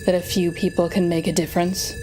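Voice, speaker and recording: A woman's voice: lowish voice, level tone, close to an everyday voice. Woman acting as a sardonic telepathic villainess over music. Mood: defensive, skeptical. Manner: dramatic, wry, exasperated, halting when flustered. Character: sardonic telepathic supervillain woman, menacing, reluctantly softening. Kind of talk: audio roleplay acting addressed to the listener.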